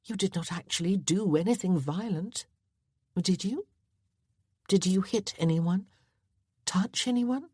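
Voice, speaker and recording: A woman voicing an earnest, gentle young lady in a storybook reading, gently questioning. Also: medium pitch, measured pace, pauses often, slightly rough, no room sound, studio quality, voice pushed up.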